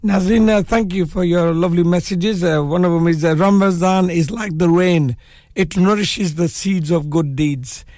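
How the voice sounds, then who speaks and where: A man hosting a live community radio show, hoarse with a cold and croaky, chatting in a relaxed way with many fillers. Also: high voice, moderate pace. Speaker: radio presenter. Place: radio studio.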